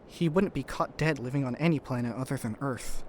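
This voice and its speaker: Man playing an earnest, steady space crewman. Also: mid-range voice, slight background noise, natural voice. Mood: somber. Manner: earnest, reassuring, measured. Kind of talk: audio drama acting.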